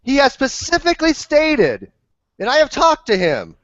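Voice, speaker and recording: A man's voice: very high-pitched, wildly swooping pitch, normal speed, dry recording. A man moderating a heated live online debate, insistently pressing for direct answers. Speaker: host moderating a debate panel. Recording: dull, lo-fi online call audio.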